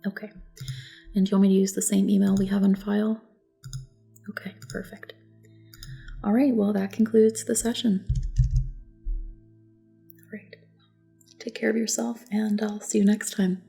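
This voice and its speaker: A soft, breathy woman gently giving calm, reassuring instructions in an ASMR roleplay, often dropping to a whisper. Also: medium pitch, normal speed, long pauses, faint music behind. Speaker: ASMR roleplayer as a test examiner. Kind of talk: soft-spoken ASMR personal attention roleplay.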